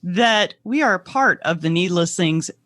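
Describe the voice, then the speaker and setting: Female podcast co-host, mid-pitched, wry and conversational, very dry sound. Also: lively intonation, a little slow, fairly bright tone, dry recording. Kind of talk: casual chat.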